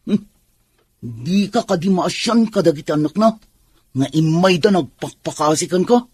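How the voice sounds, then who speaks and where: A woman playing a disapproving, compassionate confidante in a radio drama, husky and earnestly chiding. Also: on the low side, lively intonation, moderate pace, darkish tone. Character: disapproving confidante pitying her lover's children.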